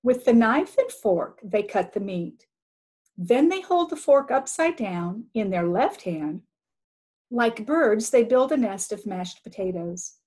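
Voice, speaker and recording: A woman's voice: a little high, lively intonation, takes it slowly, a little mellow. A woman voicing a kindly old great uncle who instructs patiently in a read-aloud storybook. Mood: patient, instructive.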